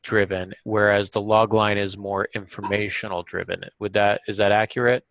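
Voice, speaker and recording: A man with a lowish voice hosts a podcast conversation. He summarizes and explains in an informal interview style, and the sound is close and dry. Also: level tone, moderate pace, fairly bright tone, no room sound, low-quality recording. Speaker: podcast host.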